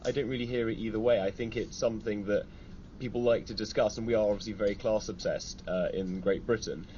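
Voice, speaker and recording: A man's voice: lowish voice, steady tone, fast, dark tone. A man, a magazine editor, speaking as a guest on a radio discussion, articulate and opinionated with dry wit. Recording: dull broadcast audio with faint hiss.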